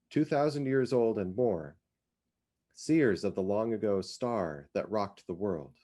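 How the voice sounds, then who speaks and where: Male literary reader, lowish voice, very unhurried pace, dramatic scripted narration. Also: warm tone.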